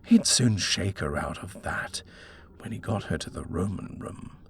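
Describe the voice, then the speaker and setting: A man's voice: low-pitched, expressive, measured pace, vocal fry, breathy edge. A man reading a horror story as a dramatic audiobook narrator.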